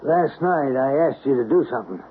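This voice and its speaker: An actor playing a stern, suspicious boss who is insistent and menacing, in an old-time radio drama. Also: male voice, mid-range voice, expressive, a little fast, slightly rough. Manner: insistent, interrogating. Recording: tinny, hissy old radio recording.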